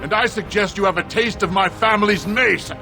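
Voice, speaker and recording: High-pitched, combative dwarf noble. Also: male voice, a little fast, over music. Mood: defiant. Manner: forceful, pointed. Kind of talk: dramatic fantasy game acting.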